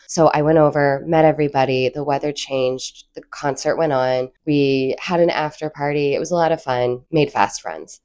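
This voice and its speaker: Woman, very low voice, froggy from a cold, chatty vlog host, rambling storytelling, laughs at her own asides. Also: darkish tone. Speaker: channel host.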